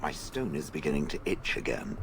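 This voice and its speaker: Haughty, very deep stone golem. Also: male voice, big pitch swings, gravelly, fairly bright tone, a little hiss or hum, heavily acted. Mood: imperious. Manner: haughty, sardonic, deliberate phrasing. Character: haughty, sardonic stone golem with contempt for people. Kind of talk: fantasy video game dialogue.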